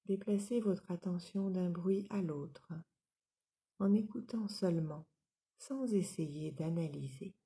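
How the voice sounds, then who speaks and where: A woman guides a relaxation session at a very slow pace. Her voice is very dark and soft, with a noticeable creak. She gives each instruction in a calm, soothing, measured way. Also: on the low side. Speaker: yoga nidra relaxation instructor.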